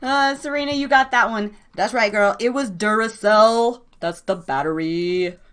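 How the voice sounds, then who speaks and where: Woman w/ kinda high voice hosting a live guessing game, casual n slangy, excitable radio chat. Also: unhurried, smooth. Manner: excitable, informal, slangy cheering of players. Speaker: live show host running a guessing game.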